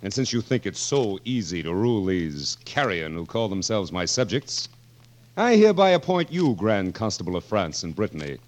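A wily medieval king in an old radio play. The voice is low and moves in wide swoops, sly and sardonic, with musing hesitations and a regal air. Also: sing-song, normal speed, darkish tone. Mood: stern. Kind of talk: 1940s radio drama acting. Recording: vintage 1940s radio broadcast.

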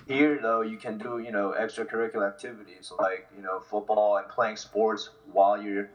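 A man's voice: on the low side, little pitch movement, moderate pace, lo-fi sound. A man in his twenties from South Korea and New Jersey, giving casual, easygoing answers in an interview. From South Korea, then New Jersey from sixth grade. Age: 20s. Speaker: interview guest, football kicker. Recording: voice distant from the mic.

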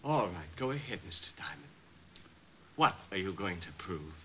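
A man's voice: deep voice, big pitch swings, moderate pace, breathy edge, heavily acted. An actor playing a bitter, unhinged bomber convinced of his own genius, politely menacing and prone to agitated outbursts, in 1950s radio drama style. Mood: cold, taunting. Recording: muffled vintage radio broadcast recording.

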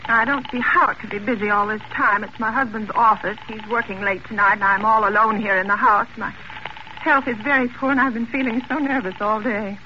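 A fretful, insistent invalid wife in a 1940s radio thriller. Her voice is very bright and cutting, caught on a dull, band-limited old broadcast recording with a light layer of noise. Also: fairly high pitch, steady tone, fairly quick. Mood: fretful, nervous. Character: anxious, fretful invalid wife alone at home. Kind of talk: 1940s radio suspense acting.

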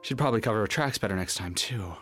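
An actor playing a weary, smitten, hard-boiled detective in a noir audio drama, speaking with an American accent in a wry, informal, halting way. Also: male voice, lowish voice, lively intonation, a bit bright, soft background music. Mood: agitated, insistent. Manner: wry, informal, halting, rough-edged street talk. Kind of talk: noir audio drama, first-person narration and dialogue.

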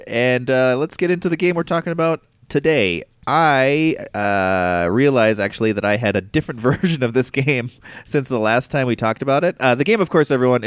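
A man co-hosts a casual board game podcast in a middle-pitched, nasal voice. He sounds congested with a cold and jokes at his own expense. The sound is close and dry. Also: clean tone, dry recording. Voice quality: nasal, stuffy. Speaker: podcast co-host.

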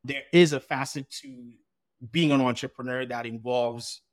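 A man's voice: mid-range voice, fairly even intonation, measured pace, no room sound, clean studio sound. Rambling conversational male podcast host. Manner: rambling, conversational, builds long questions. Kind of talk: podcast interview.

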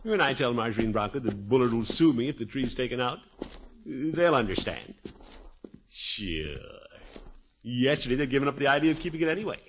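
A man's voice: medium pitch, lively intonation, lightly acted. Man playing blustery radio uncle, swooping voice. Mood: uneasy. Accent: American. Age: middle-aged. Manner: theatrical, drawn-out exclamations and mutterings. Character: blustery, flustered middle-aged uncle, easily worried. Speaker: lead actor in radio comedy. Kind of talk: 1950s radio comedy acting. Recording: dull, noisy 1950s radio recording.

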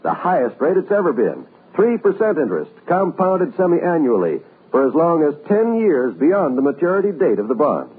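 A man's voice: a little high, sing-song, moderate pace, hardly any pauses, husky. A man announcing a vintage radio program in a scripted 1950s broadcast style. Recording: muffled tinny old radio recording.

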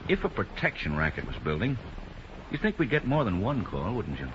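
A man playing a deliberate, skeptical police sergeant in a 1950s radio drama, with measured, doubtful delivery. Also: fairly low pitch, wildly swooping pitch. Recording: dull, noisy 1950s radio recording.

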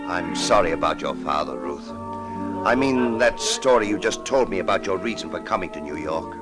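Deep-voiced, gentle old father. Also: expressive, moderate pace, over music. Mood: sad, gentle. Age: older. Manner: hesitant, earnest, courteous. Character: gentle, guarded old father hiding his identity. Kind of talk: 1950s radio drama acting.

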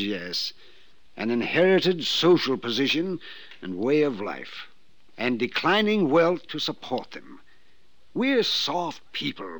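An actor playing a perturbed, guilt-ridden old gentleman with formal, hesitant phrasing in a 1950s radio drama. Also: mid-range voice, no echo, heavily acted. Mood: resigned, regretful. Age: older. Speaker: supporting actor in a radio detective serial.

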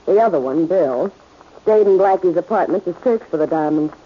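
A woman's voice: on the low side, lively intonation, moderate pace, husky. An actress playing a tough, defiant female crime boss in 1940s radio style, curt and hard-edged. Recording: muffled, noisy old radio recording.

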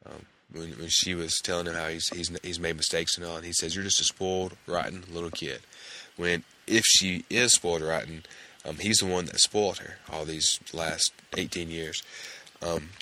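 A male podcast host recapping a TV episode in a casual, hesitant way, his voice hoarse and gravelly from a cold. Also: low-pitched, fairly even intonation, moderate pace, bright, forceful tone. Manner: hesitant, many fillers and restarts.

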